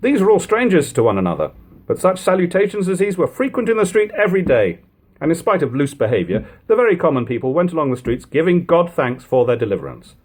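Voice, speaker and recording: A man narrating an eighteenth-century account as a dramatic, expressive audiobook reading. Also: fairly high pitch, fast.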